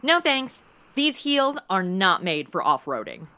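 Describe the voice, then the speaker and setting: A woman's voice: mid-range voice, very animated, measured pace, sharp tone, heavily acted. A woman playing a ditzy, cheeky, meddling young sidekick in a comedic audio drama, blithely clueless and exaggerated. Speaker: comic sidekick, star of the show.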